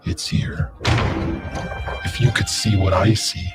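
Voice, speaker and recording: An actor playing a grim, haunted hunter of false gods in slow, brooding, solemn trailer narration. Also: male voice, on the low side, fairly even intonation. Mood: brooding, ominous. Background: dramatic string music.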